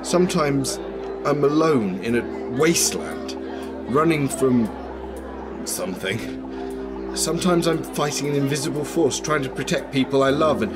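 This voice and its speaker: Actor doing playful flirty guy in an audio drama, very lively delivery, music behind him. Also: medium pitch, close to an everyday voice. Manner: teasing, animated. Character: playful, flirtatious man, troubled by nightmares. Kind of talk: radio drama acting. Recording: music under the voice.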